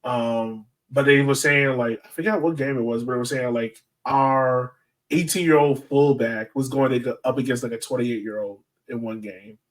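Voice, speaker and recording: A man's voice: medium pitch, steady tone, moderate pace. An American man co-hosting a sports podcast in casual, informal talk peppered with "like". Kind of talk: casual chat. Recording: voice distant from the microphone.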